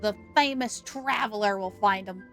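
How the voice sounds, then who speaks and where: A woman's voice: medium pitch, normal speed, fairly bright tone, soft background music. A woman hosting a game livestream, chatting casually and reacting playfully and expressively to what happens.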